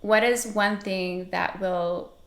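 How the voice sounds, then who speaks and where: A woman hosting a casual interview show, encouraging and quick to laugh. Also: medium pitch, steady tone, fairly bright tone, roomy sound. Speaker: host.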